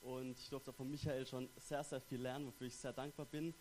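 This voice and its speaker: A man's voice: medium pitch, level tone, a little fast, a little mellow, slight background noise, low-quality recording. A young man from Stuttgart with a light Swabian accent, a theology student giving a sermon in a friendly, chatty way with frequent fillers. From Stuttgart, Germany. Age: young adult. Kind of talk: church sermon with personal introduction.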